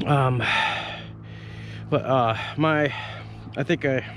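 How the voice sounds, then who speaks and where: A man's voice: mid-range voice, drawn-out words, music in the background. A man in his thirties, an arborist, giving a casual, rambling gear review from up a tree outdoors on a head-mounted camera, full of fillers and restarts. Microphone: head-mounted action camera.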